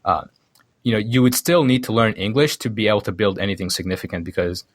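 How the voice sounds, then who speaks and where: A man from Sudan with a low-pitched voice talks as a guest in a casual interview. He explains in a halting way, thinking aloud and restarting his sentences. The sound is very dry, with no room around it. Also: no echo. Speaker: podcast guest, programmer.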